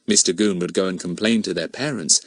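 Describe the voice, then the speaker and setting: A man's voice: deep voice, a little fast, no echo. A man narrating a children's story from a script as an audiobook reader.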